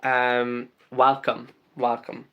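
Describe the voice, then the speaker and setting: A man's voice: mid-range voice, monotone, extremely slow, smooth, dull audio. A man from the UK hosting a casual live stream, greeting viewers by name and rambling with lots of ums and uhs.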